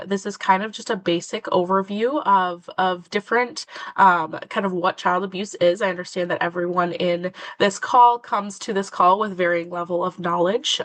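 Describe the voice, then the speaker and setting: A woman presenting a webinar as a prevention education coordinator, slightly nasal from a cold, pausing often with many fillers. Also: on the low side, a bit bright, no echo.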